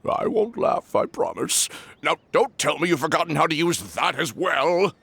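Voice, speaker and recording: A man's voice: on the high side, sing-song, normal speed, gravelly, fairly bright tone, slight background noise, heavily acted. A man voicing a brash, teasing, fiery frog in a video game, with a theatrical delivery. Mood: encouraging.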